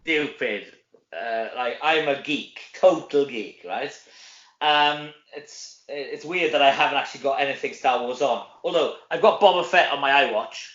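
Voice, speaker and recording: Male, middle-aged, Welsh. Chatty, informal interview guest with a forward, bright tone; roomy, lo-fi sound. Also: mid-range voice, normal speed. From Wales. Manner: chatty, informal, frequent tag questions. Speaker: guest, actor. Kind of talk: casual interview chat.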